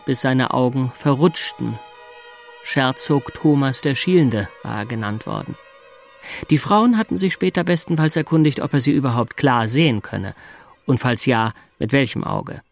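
A man's voice: mid-range voice, natural voice. An actor playing a plain-spoken, brooding man in love, telling his thoughts in a literary audio drama.

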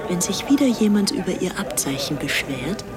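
A haughty young English society woman in a radio drama. Her voice sits fairly low, and she speaks with gushing fervour and complete self-assurance. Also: very animated, normal speed. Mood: confiding. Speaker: actress in a radio docudrama. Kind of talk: German radio play acting.

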